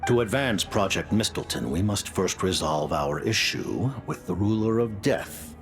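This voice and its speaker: An actor playing a grave, stately older leader in a video game, speaking formally and solemnly in a gravelly voice. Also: male voice, expressive, unhurried, faint music behind. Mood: grave, commanding. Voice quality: deep, gravelly. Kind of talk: theatrical video game voice acting.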